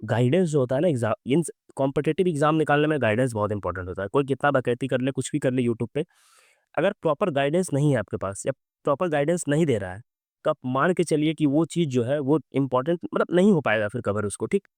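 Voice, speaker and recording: A young man from Bihar, teaching exam quiz questions in with a sore, hoarse throat, reading English questions slowly and explaining with many fillers. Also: medium pitch, animated, darkish tone, no echo, clean studio sound.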